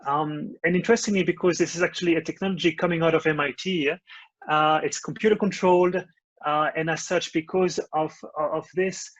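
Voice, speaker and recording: A man's voice: fairly high pitch, fairly even intonation, fairly quick, no echo. A man with a French accent and a slightly raised voice, explaining earnestly with frequent fillers. The recording is dry and a little dull. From France. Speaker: company founder. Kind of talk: webcast pitch.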